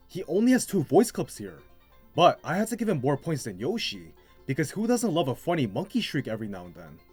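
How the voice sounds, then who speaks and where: Animated man with a higher-pitched voice. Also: breathy edge. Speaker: video host. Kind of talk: scripted narration, video game commentary. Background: music.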